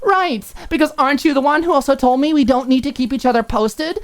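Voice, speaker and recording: A woman's voice: high-pitched, a little fast, pitched above the performer's own voice, natural voice. A woman voicing a furious, hurt young roommate in an audiobook, firing off sarcastic, emphatic rhetorical questions. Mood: exasperated rant. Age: young adult. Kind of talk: audiobook character acting.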